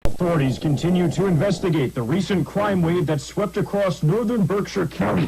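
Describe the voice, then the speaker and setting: A man performs a formal local news anchor for an analog horror broadcast. He reads scripted copy in a fairly high voice, with faint noise on the tape. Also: vocal fry.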